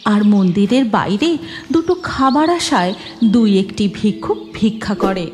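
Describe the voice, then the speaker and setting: A woman reading scripted cartoon story narration in an expressive, dramatic way. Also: mid-range voice, normal speed, faint music behind.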